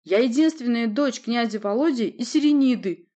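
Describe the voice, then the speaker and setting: An imperious young sorceress from a fantasy storybook, acted out by a woman narrator. She speaks in a raised, commanding voice, sharp and insistent, defiant toward anyone who doubts her. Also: a little high, expressive, normal speed, lo-fi sound, voice pushed up, lightly acted.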